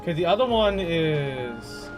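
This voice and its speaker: A man's voice: fairly high pitch, very animated, measured pace. A young man giving casual, slangy gameplay commentary, often talking to himself as he plays. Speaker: video game streamer. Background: game music.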